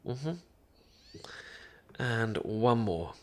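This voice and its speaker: A man's voice: medium pitch, extremely slow, a little hiss or hum. A British man acting a shy, affectionate best friend in an ASMR romantic roleplay, hesitant, stammering and tender. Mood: gentle, instructing. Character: shy, affectionate boyfriend-to-be best friend teaching sushi making.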